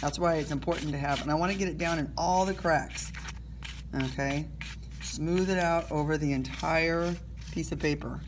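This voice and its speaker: A woman's voice: sits low, moderate pace, background noise. A woman teacher casually talking students through an art project while she works, self-deprecating and full of little okays and ums. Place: indoors at a table, recording her hands from above.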